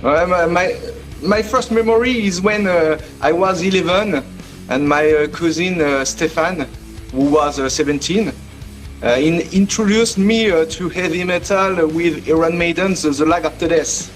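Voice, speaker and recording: A man in his forties with a French accent, a guest musician answering in halting English with many pauses. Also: a little high, faint music behind. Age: 40s. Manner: hesitant, many fillers.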